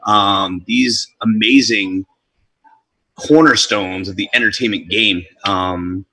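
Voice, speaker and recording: A man's voice: fairly low pitch, slow pace, no room sound, rough recording. A young man born in Colombia and raised in New Jersey, talking casually and energetically as a DJ and MC guest in an interview. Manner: energetic, talkative, street-casual.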